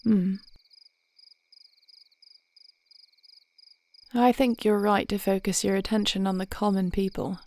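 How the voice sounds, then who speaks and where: A woman playing a devoted warrior princess in an intimate fantasy audio roleplay, speaking in measured, formal phrases with a reassuring, thoughtful manner. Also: medium pitch, steady tone, darkish tone, lightly acted. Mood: calm, thoughtful. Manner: measured, articulate, formal old-fashioned phrasing.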